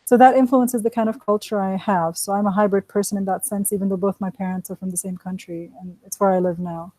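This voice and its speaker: A woman raised in Kuwait hosting an online panel over a video call, talking in a relaxed, chatty way with fillers. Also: mid-range voice, fast talker, no room sound, rough recording. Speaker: host, associate professor.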